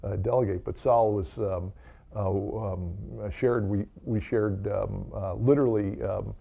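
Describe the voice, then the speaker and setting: Older American man, low gravelly voice. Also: steady tone, narrow, tinny audio. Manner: halting, with repeated words. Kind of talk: informal remarks and blessing at Mass. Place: small chapel, indoors.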